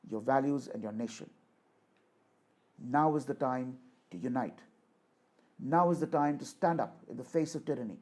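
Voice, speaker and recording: A man giving a rhetorical political speech in a declamatory, deliberate manner, repeating phrases for emphasis. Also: medium pitch, dark tone. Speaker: orator reading a voice sample.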